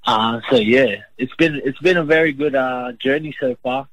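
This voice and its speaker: A young man with an Australian accent giving a sports interview as a boxer in a halting, plain-spoken way. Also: medium pitch, fairly bright tone, no room sound, poor audio. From Brisbane, Queensland, Australia.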